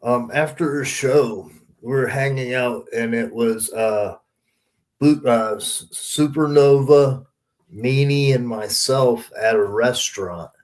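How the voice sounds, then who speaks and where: A man's voice: mid-range voice, slow, a little mellow. A man hosting a podcast in casual chat, telling anecdotes in an informal, emphatic, sweary way. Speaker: podcast host.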